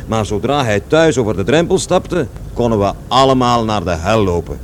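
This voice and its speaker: Male actor for radio drama: aggrieved storyteller, lowish voice, digressive and emphatic, repeats himself; clearly noisy old recording. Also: expressive, normal speed, natural voice. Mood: wry, aggrieved. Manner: digressive, self-correcting, repeats words emphatically. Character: aggrieved, rambling man recalling his overbearing father. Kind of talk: radio play dialogue, conversational storytelling.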